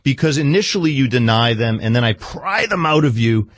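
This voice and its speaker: A man's voice: medium pitch, lively intonation, fairly quick, no room sound. A man speaking as a therapist-interviewer in a probing session, insistently pressing his point and cutting in.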